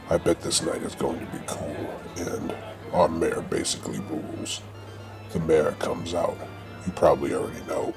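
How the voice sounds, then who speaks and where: A man reading scripted comic narration for an audio drama in a deadpan way. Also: extremely low pitch, creaky.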